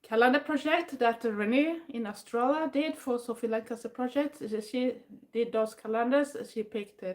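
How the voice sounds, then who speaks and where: An older woman from southwest Sweden, a native Swedish speaker, chatting casually in English with a Swedish accent, pausing often with fillers and restarting her sentences. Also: on the high side. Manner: hesitant, many fillers and restarts, non-native phrasing. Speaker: vlogger. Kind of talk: casual chat.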